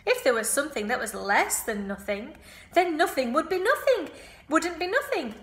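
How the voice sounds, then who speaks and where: Storybook pig, young and friendless, high wailing whine, voiced by a woman reader. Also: very animated, moderate pace, a bit bright, echoey room. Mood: indignant. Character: young male pig, lonely and whiny.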